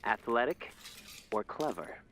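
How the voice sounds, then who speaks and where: An actor playing an alert, earnest male spy handler in an interactive audio game, giving directives in a crisp, urgent manner. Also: medium pitch, animated, measured pace, fairly bright tone, faint music behind. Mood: guiding. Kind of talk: scripted interactive game acting.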